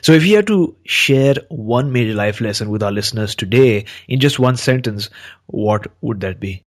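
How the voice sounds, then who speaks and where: A man's voice: mid-range voice, expressive, normal speed. Earnest motivational podcast host, a man. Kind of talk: podcast hosting and motivational talk.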